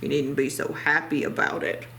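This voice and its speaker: A woman's voice: low-pitched, flat delivery, normal speed, creaky, fairly bright tone, slight background noise, voice pushed down, low-quality recording. A woman voicing a grumpy, self-pitying male bear who is sick, complaining theatrically in a children's storybook reading.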